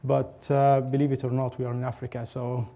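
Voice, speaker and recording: A man from Egypt giving an academic conference presentation, speaking in a halting way with frequent pauses and restarts. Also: medium pitch, monotone, gravelly, dark tone, lo-fi sound.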